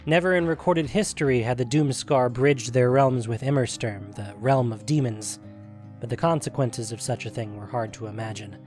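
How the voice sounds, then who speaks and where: A man's voice: mid-range voice, a little mellow. Male fantasy story narrator over music.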